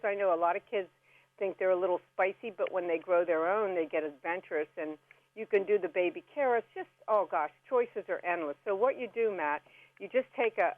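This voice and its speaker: A chatty woman guest walks listeners through simple instructions in a folksy, casual way. Her voice comes through a narrow, phone-like connection with very little room sound, at a middling pitch. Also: no echo. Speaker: radio guest giving gardening tips. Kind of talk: casual step-by-step how-to chat. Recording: band-limited phone-like radio call.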